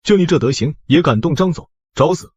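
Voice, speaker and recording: A man's voice: on the high side, expressive, a little fast, slightly rough, no echo. A man playing a contemptuous, thuggish henchman in an audio drama, on a dull recording.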